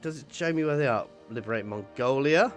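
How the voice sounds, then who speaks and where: A man's voice: medium pitch, lively intonation, a little fast. Man thinking aloud over music. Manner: thinks aloud, exclaims playfully. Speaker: gaming video host. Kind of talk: casual let's play commentary of a strategy game.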